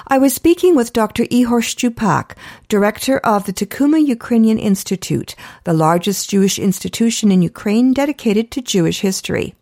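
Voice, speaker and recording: A woman hosting a radio interview and reading the show's closing announcements, friendly and quick to laugh. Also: a little high, animated, darkish tone, dry recording, clean studio sound. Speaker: radio host. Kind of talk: radio interview and show outro.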